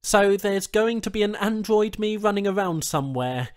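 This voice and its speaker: A man's voice: sits high, animated, normal speed, dry recording, clean studio sound, voice pushed up. A man playing an anxious, indignant human captive in a science fiction audiobook, protesting and questioning his captors with wry disbelief. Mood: uneasy, bargaining. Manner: protesting, questioning, wryly incredulous.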